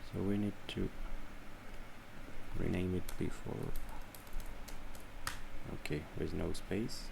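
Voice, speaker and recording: A man with a French accent, a native French speaker, giving a hesitant, unscripted software tutorial with frequent pauses. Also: low voice, little pitch movement, gravelly, noisy background. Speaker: tutorial presenter.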